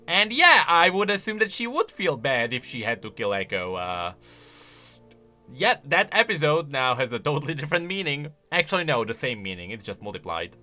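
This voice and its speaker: A man's voice: on the high side, very animated, moderate pace, bright, forceful tone, soft background music. A man giving casual, exclamatory reaction commentary, thinking aloud as a video creator.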